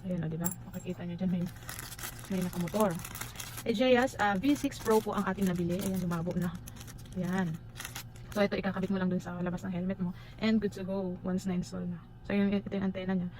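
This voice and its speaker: Fast-talking Filipino woman vlogger, noisy background. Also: fairly low pitch, fairly even intonation, a little mellow. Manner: informal Tagalog-English code-switching. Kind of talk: casual unboxing and review chat to viewers.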